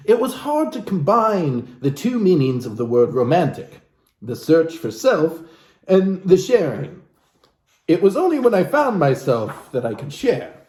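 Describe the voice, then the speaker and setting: A man's voice: fairly high pitch, darkish tone. A man reading a self-help book aloud in a theatrical, playful way, breaking off into wry, joking asides of his own. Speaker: comedic reader and commentator. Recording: echoey room with background hiss.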